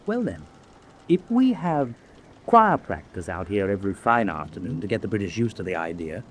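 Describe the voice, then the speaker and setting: A man's voice: lowish voice, very animated, moderate pace, a bit bright, a little hiss or hum. A man playing a shrewd, confident prisoner of war, explaining things with self-assurance in a radio drama. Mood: keen, scheming. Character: shrewd, confident POW plotting an escape. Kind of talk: vintage-style war radio drama acting.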